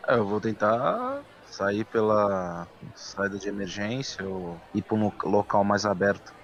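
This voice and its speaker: A man's voice: lowish voice, animated, unhurried, slight background noise. A Brazilian man playing a tabletop RPG, casually telling what his character does and says in first person. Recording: dull, lo-fi microphone sound.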